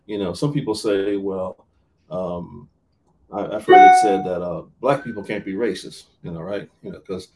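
A man's voice: low-pitched, steady tone, moderate pace, far from the mic, loud music behind the voice, low-quality recording. A man who works as a minister, talking earnestly in an interview and often restarting and repeating words mid-sentence. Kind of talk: podcast interview talk.

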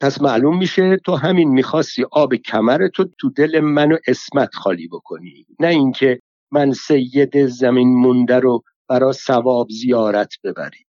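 A man's voice: mid-range voice, darkish tone, no room sound, natural voice. A man voicing a foul-mouthed older woman pilgrim who curses and complains in a stream of folk sayings, on a slightly dull recording. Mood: scolding.

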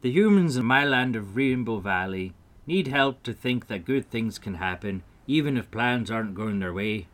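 A man's voice: mid-range voice, expressive, moderate pace, fairly bright tone, pitched above the performer's own voice, lightly acted. A man voicing a polite, merry little leprechaun in a storybook tale, with courteous, exclamatory speech. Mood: earnest.

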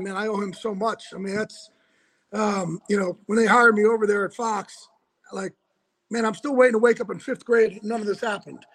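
A man with a raspy voice, a guest calling in from home, chatting casually and loosely with lots of fillers. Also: sits high, rough recording. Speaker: interview guest, football insider. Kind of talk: casual interview chat.

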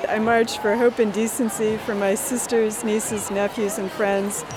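A woman's voice: medium pitch, measured pace. A woman steadily reciting a list of reasons for marching. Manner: steady, listing. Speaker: march attendee. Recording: crowd and street noise.

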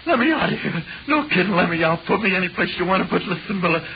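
A terrified American prisoner in an old radio horror play. His high, creaky voice swings widely as he begs and repeats himself, on a muffled, noisy recording. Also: animated, quick pace. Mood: pleading, panicked. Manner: pleading, stammering, repeating himself. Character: terrified male prisoner pleading in the dark. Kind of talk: 1940s radio horror acting.

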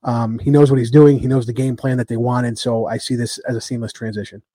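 Mellow man chatting about rugby. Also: medium pitch, little pitch movement, quick pace, breathy edge, no room sound. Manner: rambling, lots of ums, joking trash talk. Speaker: podcast host. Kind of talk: casual rugby chat.

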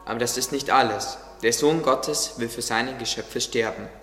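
A devout gardener played by a man in a radio play, solemn, fond and preaching. His forceful, bright voice carries in a long reverb with music underneath. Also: medium pitch, normal speed, natural voice. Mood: explaining. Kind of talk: religious radio play acting.

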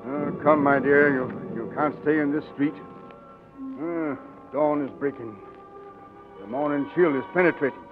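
A man's voice: on the high side, a little slow, long pauses, close to an everyday voice. Guy doing courtly blustery old southern general, forties radio drama, tinny, music behind. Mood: concerned. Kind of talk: 1940s radio drama acting.

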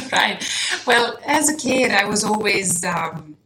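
A woman from Serbia whose native language is Serbian, a podcast guest speaking in a casual interview, soft-spoken and hesitant, restarting often and laughing lightly. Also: medium pitch, expressive, extremely slow, a little hiss or hum, poor audio. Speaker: guest, nutrition coach.

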